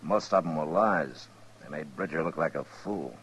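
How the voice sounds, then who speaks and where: Old radio western lawman: American, very low voice, stern, lonely, sparing with words, noisy vintage recording. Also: fairly bright tone. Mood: wry, guarded. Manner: measured, laconic. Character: watchful, lonely, stern frontier US Marshal, adult man. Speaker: lead actor and narrator of radio western. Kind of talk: 1950s radio drama acting.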